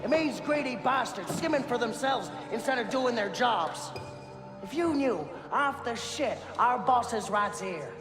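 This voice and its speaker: An earnest, aggrieved male tax clerk speaks in a very high voice for a man. He sounds protesting and sincere, echoing in a hall with music in a game cutscene. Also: expressive, unhurried. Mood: bitter.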